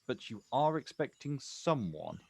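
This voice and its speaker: A man acting a watchful, quietly wounded poet in a naturalistic stage play, speaking deliberately in terse, repeated phrases. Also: medium pitch, big pitch swings, gravelly, husky, no room sound, exaggerated voice. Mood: tentative.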